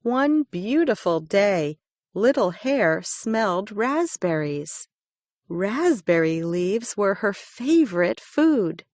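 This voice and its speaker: A woman reading a children's picture book aloud, slow and expressive. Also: medium pitch, dry recording, clean studio sound. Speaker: reader.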